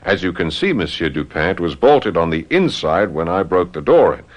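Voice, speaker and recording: A man's voice: deep voice, very animated, moderate pace, highs cut off. An actor playing a timid, earnest young French policeman in a radio mystery, stammering and deferential. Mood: subdued, deferential. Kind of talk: radio mystery drama acting.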